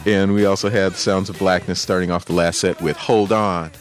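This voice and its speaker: A man with a lowish voice hosts a radio music show in a relaxed, chatty way. He laughs easily and trades banter with his co-host. Faint music plays behind him. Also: normal speed. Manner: laid-back, chatty, laughs often.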